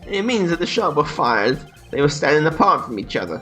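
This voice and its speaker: A young man joking his way through a game, crude and laughing often. His voice sits a little high. Faint game music plays under him. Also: big pitch swings, brisk, fairly bright tone. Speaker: let's play host. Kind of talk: joking game commentary and reading dialogue aloud.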